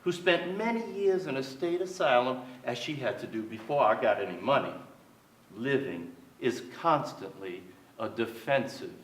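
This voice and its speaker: A man's voice: mid-range voice, animated, normal speed, natural voice. A man in his sixties playing a wistful, wry aging playwright, reminiscing in a theatrical, reflective staged reading. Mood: tender, solemn. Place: theater stage before an audience. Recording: echoey theater stage, distant mic, faint noise.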